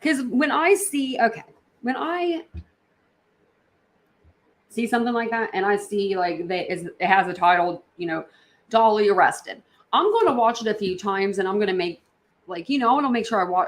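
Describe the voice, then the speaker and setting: Female livestream host, medium pitch, casual reaction chat, rambling and repetitive, addressing viewers directly. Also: animated, moderate pace.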